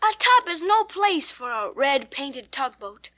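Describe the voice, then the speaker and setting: A man's voice: extremely high pitch, big pitch swings, unhurried, sharp tone, dry recording, pitched above the performer's own voice, heavily acted. A man voicing a cross, ambitious little toy tugboat in a children's storybook, boastful and insistent, with music behind. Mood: cross, defiant.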